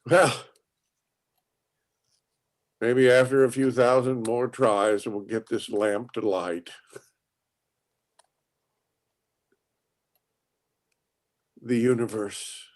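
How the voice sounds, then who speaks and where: Man as slow, halting elderly inventor. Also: mid-range voice, fairly even intonation, close to an everyday voice. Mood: expectant, stubborn. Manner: halting, repeating words, ruminative. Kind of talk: acted dialogue in a play reading.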